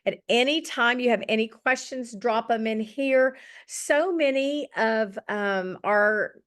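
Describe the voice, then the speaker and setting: A woman's voice: fairly high pitch, slow, fairly bright tone. A middle-aged woman with a slightly scratchy voice, leading an online journaling session in a chatty, encouraging way over a video call. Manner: chatty and encouraging, with many ums. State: slightly scratchy voice after illness. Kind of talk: casual online group session.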